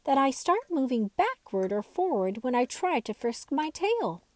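A woman voicing an eager young male wooden toy horse in a storybook reading, talking high and full of wonder. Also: very animated, measured pace, a little mellow, no echo. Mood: puzzled.

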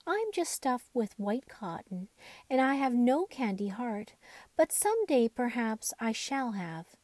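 Woman as a cheerful, mellow boy rag doll. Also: a little high, sing-song, slow pace, no echo. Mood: helpful, cheerful.

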